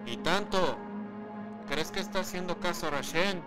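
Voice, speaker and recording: A man's voice: high-pitched, expressive, normal speed, over music, voice pushed up. A man voicing a loyal, wary male squad soldier in an audiodrama. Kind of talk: audiodrama acting.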